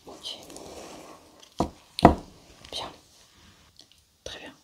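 A young adult woman whispers breathily in an ASMR roleplay, narrating lab work as a scientist in an unhurried, methodical way. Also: pushed and bright. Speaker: ASMR creator playing a scientist doing an autopsy.

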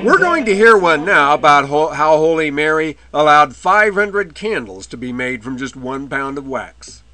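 Bright-voiced male history lecturer. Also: on the high side, sing-song. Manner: measured, with wry asides. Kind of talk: illustrated history lecture narration. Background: faint medieval music.

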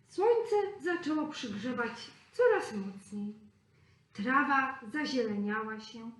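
Slow, expressive woman storyteller. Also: fairly high pitch. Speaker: preschool teacher. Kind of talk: reading a fairy tale to children. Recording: roomy echo.

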